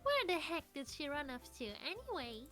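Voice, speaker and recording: A woman's voice: high voice, very animated, normal speed, sharp tone, soft background music, heavily acted. A woman voicing a blunt, sarcastic, snappy teenage girl in a visual novel. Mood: irritated, scolding. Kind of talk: visual novel character dub.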